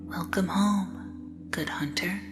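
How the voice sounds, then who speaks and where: A woman voicing a serene, mysterious porcelain doll who guides in a gothic audio drama, with measured, formal and grave delivery. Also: lowish voice, in a whisper. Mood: soothing. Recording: music behind the voice.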